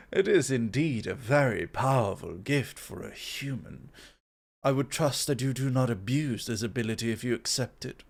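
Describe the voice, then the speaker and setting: A man playing a composed, intellectual god of foresight in an ASMR roleplay, formal, measured and gently superior. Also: mid-range voice, animated, creaky. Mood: calm, assured.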